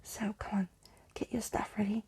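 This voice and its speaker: A woman speaking softly and intimately in an ASMR bedtime storytelling style, as if to one listener, with a breathy, husky, creaky voice. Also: medium pitch, flat delivery, moderate pace. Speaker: ASMR storyteller reading a book aloud. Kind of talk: soft-spoken ASMR bedtime roleplay and reading.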